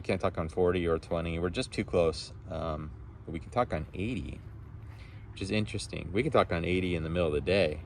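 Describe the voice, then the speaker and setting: A low-voiced man makes casual conversation as an amateur radio operator. He rambles in a friendly, appreciative way. A faint noisy hum sits behind his voice. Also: darkish tone. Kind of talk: casual two-way radio chat.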